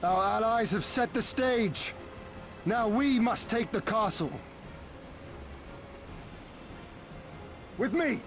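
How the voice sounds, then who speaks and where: High-pitched, resolute rebel lord. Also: male voice, a little slow, a bit bright, a little hiss or hum. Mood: rallying. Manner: earnest, commanding. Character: resolute, righteous young lord leading a rebellion. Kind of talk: heroic video game cutscene acting.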